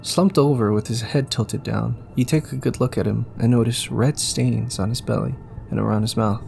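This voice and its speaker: A man's voice: mid-range voice, steady tone, moderate pace, creaky, darkish tone, soft background music. A man narrating a scripted audio story in the second person, with faint ambience behind him. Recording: faint background noise and ambience.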